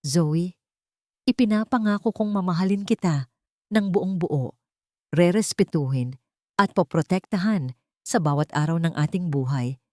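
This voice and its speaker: A woman's voice: on the low side, a little slow, pauses often, mellow, dry recording, studio quality, natural voice. A woman storyteller voicing a devoted, tender husband in a romance story, earnest and solemn. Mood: earnest, loving.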